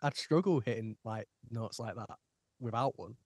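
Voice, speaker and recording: A man's voice: mid-range voice, normal speed. A young man hosting a podcast, chatting casually and acting out what he used to say. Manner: animated storytelling, acts out his own past remarks. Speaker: podcast host. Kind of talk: casual chat.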